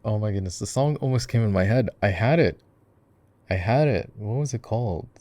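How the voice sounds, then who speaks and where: A man's voice: lowish voice, lively intonation, normal speed, warm tone, no echo, lightly acted. A man voicing an easygoing gamer boyfriend in a casual, chatty roleplay, rambling playfully and interrupting himself as he explains. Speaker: voice actor, roleplay performer. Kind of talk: casual ASMR roleplay, chatty gaming commentary.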